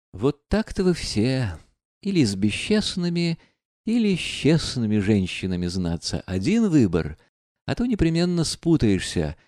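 Guy reading as a bitter proud young woman, mocking and reckless, voice swinging up and down, dry mellow sound. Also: medium pitch, no room sound, close to an everyday voice. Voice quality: mocking, defiant.

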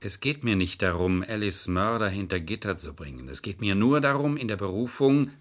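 An actor playing a shrewd, controlled defence lawyer in a German crime radio play, formally polite and insistent. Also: male voice, on the low side, animated, fairly quick, fairly bright tone. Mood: coolly pressing. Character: shrewd, coolly calculating male defence lawyer.

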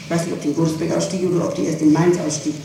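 A woman is being questioned on an old tape recording. She sounds far from the microphone in a very echoey room, with clear noise over her voice. She answers haltingly, correcting herself and insisting on details. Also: sits low, level tone. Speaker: person under police questioning.

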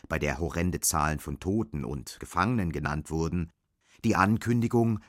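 An actor playing the sober narrator of a bleak dystopian tale in a scripted radio play. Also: male voice, sits low, dry recording, clean studio sound.

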